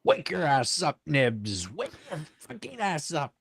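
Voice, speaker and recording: A man's voice: on the high side, huge pitch swings, unhurried, gravelly, a bit bright, husky, dry recording, clean studio sound, exaggerated voice. A man voicing Peter Pan as a bossy, sulky, foul-mouthed boy leader, curt, cocky and commanding.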